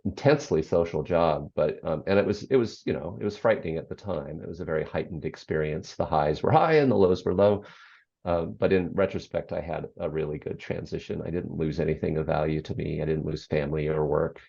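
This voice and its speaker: An older speaker with a very low, gravelly bass voice answers interview questions at an easy pace, circling back with ums and restarts. The audio sounds a little dull and lo-fi. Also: brisk, dark tone. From United States. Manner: reflective, frequent ums and restarts. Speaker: guest, author and former radio program director. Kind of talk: interview conversation.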